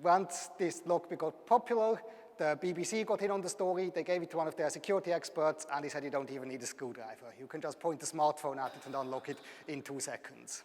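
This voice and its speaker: A man's voice: a little high, fast, a little mellow. A man with a Swiss German accent giving a public lecture, telling stories with dry humour. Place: large lecture hall. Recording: hall reverb.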